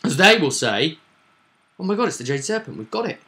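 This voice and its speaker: Male game master for a recap vidcast; forceful, bright tone, theatrical pauses, conversational. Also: fairly high pitch, big pitch swings. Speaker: host and game master recapping a tabletop session. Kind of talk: casual recap discussion of a tabletop roleplaying game.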